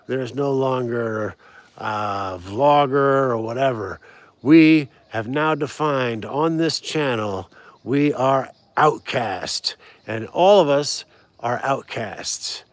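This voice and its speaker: A man's voice: mid-range voice, slow, no echo. A man hosting a casual outdoor live stream, rambling, repeating words and laughing often.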